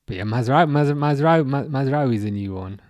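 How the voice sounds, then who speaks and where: A man's voice: mid-range voice, lively intonation, brisk, a little mellow, no echo. A young man from England with an English accent, hosting a casual football livestream and chatting with viewers in a rambling way, full of restarts. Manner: rambling, repeats words and restarts, reads chat aloud. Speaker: livestream host.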